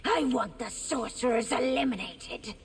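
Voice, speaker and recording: Casting: haughty young empress, highish voice with fry, demanding game dialogue. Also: female voice, a little slow, faint music behind. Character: imperious, impatient young empress. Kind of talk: video game voice acting.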